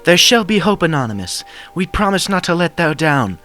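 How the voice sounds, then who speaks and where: A man's voice: a little high, sing-song, normal speed, pushed and bright, voice pushed up. A man voicing a regal, earnest pony princess in a fan story reading, with awkward, archaic, formal speech. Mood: hopeful. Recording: music behind the voice.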